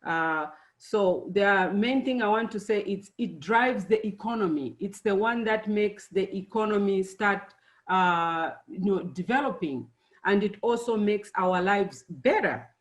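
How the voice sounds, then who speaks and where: A middle-aged woman born and raised in Zambia, giving a friendly, unhurried presentation to students, with many fillers. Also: medium pitch. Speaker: engineer giving a talk to students.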